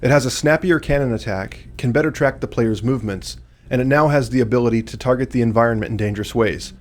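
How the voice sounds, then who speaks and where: A man delivers scripted commentary quickly in a mid-range voice. He speaks as a game developer. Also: medium pitch.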